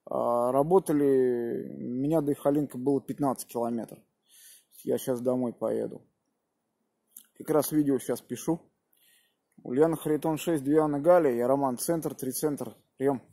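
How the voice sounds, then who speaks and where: A man's voice: medium pitch, steady tone, normal speed, gravelly, warm tone, dry recording. A man in his thirties chatting as an amateur radio operator and spelling out call signs, recorded outdoors on a phone held at arm's length. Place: outdoors in a snowy park at evening. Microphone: phone camera at arm's length.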